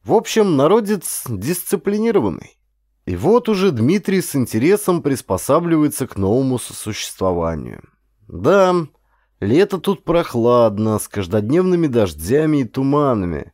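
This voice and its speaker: Lively, mellow male audiobook narrator. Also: mid-range voice, moderate pace, no room sound, clean studio sound. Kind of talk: expressive scripted narration of a historical adventure novel.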